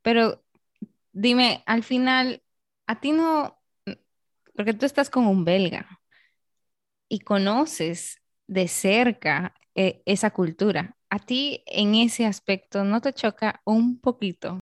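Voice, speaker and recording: A woman's voice: mid-range voice, takes it slowly, no echo. Thoughtful woman host from Peru, dry sound. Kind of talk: casual conversation.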